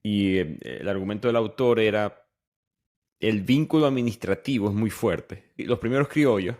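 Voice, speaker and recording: A man's voice: fairly low pitch, normal speed, a little mellow. A young man from Venezuela hosting a podcast, chatting conversationally and often restarting his sentences. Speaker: podcast host. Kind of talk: casual podcast interview.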